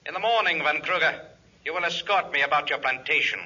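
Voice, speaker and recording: An actor playing an arrogant visitor from outer space in a 1950s radio serial, giving orders in stilted, formal speech. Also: male voice, fairly high pitch, fast, pushed and bright, full character voice. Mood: haughty. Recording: dull, raspy 1950s radio recording.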